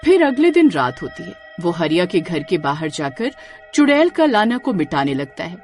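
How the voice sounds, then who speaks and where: A woman's voice: lowish voice, sing-song, moderate pace, a little mellow, faint music behind. A woman narrating a scripted horror story as a storyteller.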